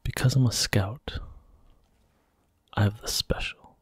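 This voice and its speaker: A man giving soft-spoken, gentle ASMR commentary while he plays a video game. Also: lowish voice, steady tone, slow pace, husky, dry recording. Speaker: ASMR gaming host. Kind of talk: whispered ASMR gameplay commentary.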